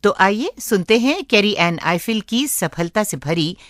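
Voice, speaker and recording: A woman with an Indian accent presenting a scripted radio show, addressing listeners in a deliberate way as friends. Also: medium pitch, lively intonation. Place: studio.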